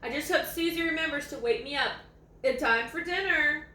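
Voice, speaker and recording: A woman's voice: high-pitched, moderate pace, pushed and bright, reverberant, far from the mic. A woman voicing a lazy, self-important old male ranch hound dog from a storybook, in a folksy, self-satisfied way. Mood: weary, content.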